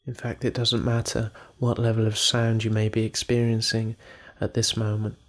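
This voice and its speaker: A man leading a guided meditation in a calm, measured manner, leaving pauses between phrases. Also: fairly low pitch.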